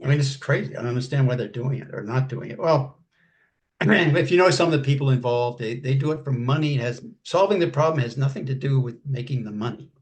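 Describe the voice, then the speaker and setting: An older man as an interview guest, talking casually in a halting way, repeating words and clearing his throat. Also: medium pitch.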